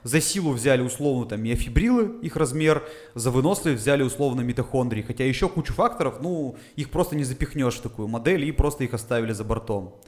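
A man's voice: medium pitch, lively intonation, fast talker, a little mellow. A man, a fitness coach, talking casually on a podcast, explaining things step by step with frequent "uh" fillers. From Urals, Russia. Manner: methodical explainer with filler sounds.

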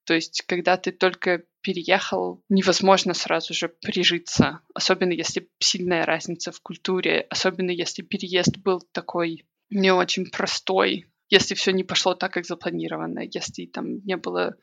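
Gravelly-voiced woman, reflective. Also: fairly low pitch, little pitch movement, a bit bright. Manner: reflective, thinking aloud with pauses. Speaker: podcast guest. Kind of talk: casual interview talk. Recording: dull lo-fi recording.

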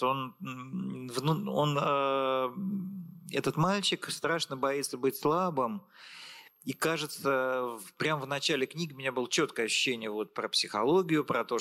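A man hosting an interview, asking questions slowly and hesitantly, searching for words. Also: mid-range voice, creaky, a bit bright. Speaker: interview host.

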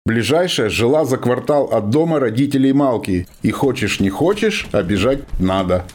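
A man's voice: mid-range voice, expressive, normal speed, darkish tone. A man reading scripted dramatic narration as the narrator of a radio play. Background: music.

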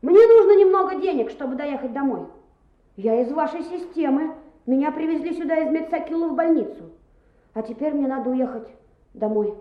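An actress playing an eager, stubborn schoolboy in a radio play, brisk and boyishly assertive. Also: female voice, high-pitched, lively intonation. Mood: earnest, indignant. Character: eager, stubborn schoolboy, confident young builder. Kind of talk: Soviet radio play acting. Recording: muffled, roomy old radio play recording.

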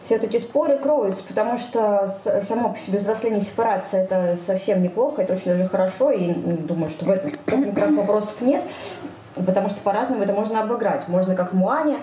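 Woman, soft dark timbre, casual discussion, reflective halting phrasing, echoey room, faint noise. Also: mid-range voice. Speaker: podcast co-host.

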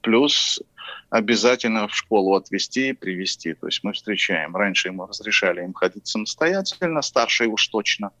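A middle-aged man from Russia, a band leader, chatting with lively, laughing energy in a live phone-in interview. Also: on the low side, normal speed, no room sound. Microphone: phone line. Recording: muffled dry phone line.

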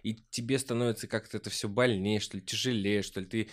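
A man chatting casually and at length, reasoning his way through his points in a halting, argumentative manner. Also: lowish voice, fairly even intonation. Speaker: podcast guest. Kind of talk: casual chat.

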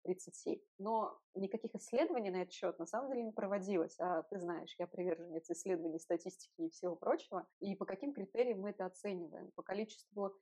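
A woman's voice: medium pitch, quick pace, hardly any pauses, dark tone, slightly rough. A woman co-hosting a podcast in casual discussion, arguing her opinions in a reasoned way with rhetorical questions. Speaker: podcast co-host.

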